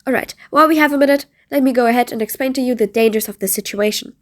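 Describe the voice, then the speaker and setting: A woman's voice: on the high side, rapid-fire, slight background noise, close to an everyday voice. A woman playing a mean but patient supervillain in an audio roleplay, bossy and sardonic, with threats that carry grudging fondness. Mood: stern warning. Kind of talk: scripted audio roleplay addressed to the listener.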